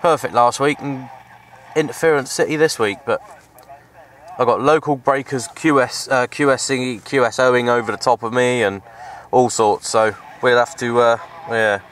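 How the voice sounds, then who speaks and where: A man giving casual, halting, unscripted commentary for an outdoor hobby vlog, recorded on a handheld camera. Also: mid-range voice, steady tone, a bit bright, slight background noise. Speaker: vlogger, CB radio hobbyist. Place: open hillside under trees, outdoors. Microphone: handheld camera microphone.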